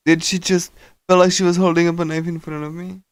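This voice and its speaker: A man streaming a visual novel, casually reading and translating game text aloud in a halting, hesitant way. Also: high voice, level tone, clean tone, no room sound, clean studio sound. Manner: hesitant, halting, with small chuckles.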